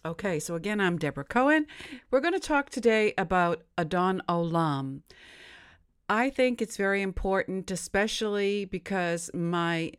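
A woman hosting a live-streamed devotional show, speaking in a gentle, unhurried way as she teaches and reads aloud. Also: medium pitch, lively intonation, smooth. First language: English. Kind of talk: slow, deliberate teaching and reading aloud.

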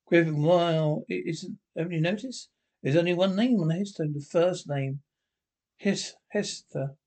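Reader voicing a nervous cemetery caretaker, halting, husky, slow. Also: medium pitch, a little mellow. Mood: hesitant. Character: caretaker of an old cemetery, uneasy.

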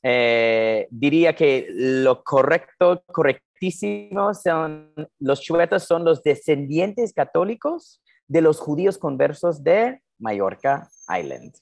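A man's voice: fairly high pitch, expressive, takes it slowly, vocal fry, dry recording. Guy from new jersey w/ american accent, talks in spanish with lots of ehs and restarts, dry close sound. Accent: American English. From New Jersey, United States. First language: English. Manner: hesitant, many fillers and restarts. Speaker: podcast guest. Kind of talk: casual interview chat.

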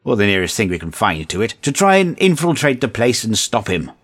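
A man's voice: lowish voice, very animated, a little fast, slightly rough. Guy doing fed up official boss briefing superheroes, voice goes up and down a lot, comedy radio play. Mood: exasperated, pleading. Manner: earnest, officious, exasperated. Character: exasperated, earnest male agency chief briefing misfit heroes. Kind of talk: comedic scripted audio drama acting.

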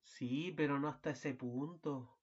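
A man's voice: medium pitch, measured pace, husky, lo-fi sound. A young man, a student actor, playing a fussy, weary husband who grows sinister in an amateur radio drama. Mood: irritable. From Chile. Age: young adult.

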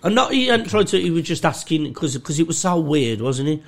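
A man's voice: a little high, sing-song, brisk. A young man, a comedian in a casual podcast chat, talking in sweary, rambling stretches full of restarts and tag questions. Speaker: comedian guest.

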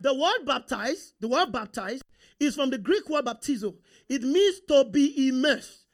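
A man's voice: very high-pitched, animated, unhurried. A male preacher with a Nigerian accent, whose first language is Yoruba, giving a sermon and constantly asking the congregation questions and for agreement. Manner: call-and-response, rhetorical questions to the congregation.

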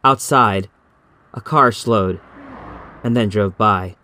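A man's voice: fairly low pitch, takes it slowly, pushed and bright. A man reading a scripted horror story as an audiobook narrator.

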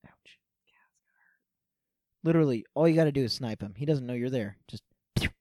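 A man's voice: medium pitch, brisk, mellow, no echo, studio quality. A man chatting casually and jokingly as he co-hosts a movie commentary. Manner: joking, laughs often. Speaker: co-host of a film watch-along commentary.

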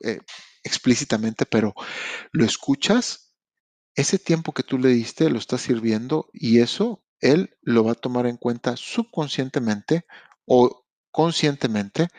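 A man's voice: mid-range voice, a little mellow, dry recording. Frank Mexican man with a slangy podcast talk. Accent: Mexican Spanish. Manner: frank and slangy, addresses listeners directly, with restarts. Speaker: podcast host. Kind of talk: casual motivational podcast monologue. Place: lives in Canada.

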